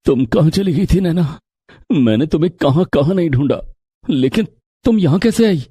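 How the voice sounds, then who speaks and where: A man voicing an earnest young family man in a dramatized storybook tale, with a husky voice. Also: fairly high pitch, lively intonation, normal speed, warm tone, no room sound, clean studio sound. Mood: emotional. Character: young husband and his brother, earnest family men.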